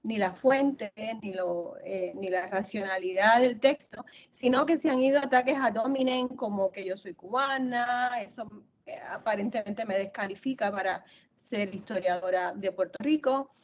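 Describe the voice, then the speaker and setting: A woman's voice: mid-range voice, normal speed. A middle-aged woman from Cuba answering interview questions as an author, explaining at length and repeating phrases for emphasis.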